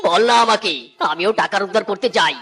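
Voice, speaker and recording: A man's voice: high voice, brisk, a bit bright. A man voicing an aging tiger uncle, an earnest, folksy chilli farmer in a Bengali cartoon, given to exclamations. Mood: troubled. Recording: music bed under the voice. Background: background music.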